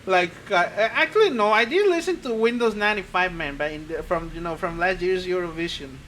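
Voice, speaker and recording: A man's voice: high voice, lively intonation, fairly quick, bright, forceful tone, slight background noise. A man streaming a video game, chatting casually in a rambling, profane way with frequent tangents. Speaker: streamer, VTuber. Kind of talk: casual gaming commentary.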